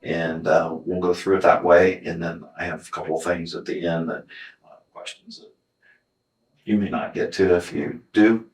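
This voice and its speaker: A male police detective in an interview room, calmly and patiently explaining how the questioning will go. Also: low voice, moderate pace. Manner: patient, explaining procedure plainly. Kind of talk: calm interrogation talk. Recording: echoey interview room recording.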